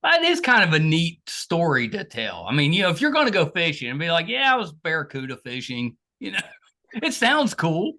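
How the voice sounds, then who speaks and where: A man's voice: fairly high pitch, huge pitch swings, normal speed, pushed and bright. A man hosting a casual interview, with folksy, easygoing conversational phrasing. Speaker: podcast interviewer, host.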